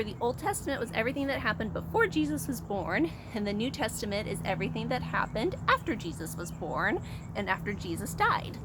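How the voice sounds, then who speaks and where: An American woman leading a children's Bible story time, speaking to young listeners in an animated way with simple explanations and questions. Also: medium pitch, background noise.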